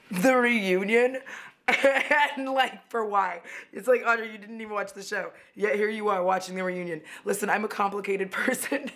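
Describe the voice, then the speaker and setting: A young woman, chatting casually while doing her makeup, somewhat hoarse from losing her voice, rambling and laughing often. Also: mid-range voice, a bit bright. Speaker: beauty vlogger. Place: at home, indoors.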